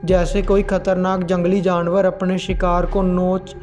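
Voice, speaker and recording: A man's voice: high-pitched, completely flat delivery, measured pace, over music. A man narrating scripted horror stories as the host of a storytelling channel.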